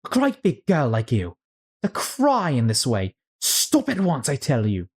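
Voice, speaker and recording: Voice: medium pitch, wildly swooping pitch, measured pace, breathy edge, voice pushed up, exaggerated voice. A storyteller voicing a dramatic, bewildered young girl who frets and scolds herself in a storybook. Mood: scolding. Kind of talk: storybook character read-aloud.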